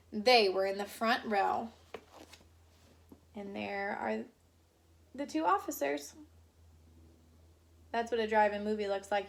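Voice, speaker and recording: A woman's voice: on the high side, fairly bright tone. A woman teacher reading a picture book aloud to children, adding chatty asides to the kids.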